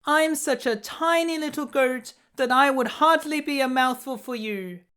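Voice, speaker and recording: A man's voice: very high-pitched, unhurried, sharp tone, clear, resonant tone, pitched above the performer's own voice, exaggerated voice. A man voicing a tiny, timid young billy goat in a storybook, small and thin in tone, pleading politely and fearfully. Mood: frightened pleading.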